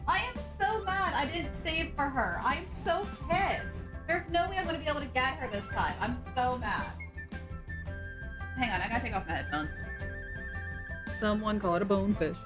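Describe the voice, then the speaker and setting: A young woman with a sore throat chatting casually and excitably on a live stream, scatterbrained and full of gasps. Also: on the high side. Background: background music.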